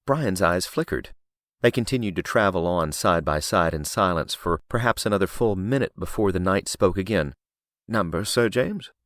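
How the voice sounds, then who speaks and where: A man's voice: low voice, lively intonation, normal speed, a bit bright, no room sound. A man reading scripted audiobook narration as a professional narrator, close on a studio mic.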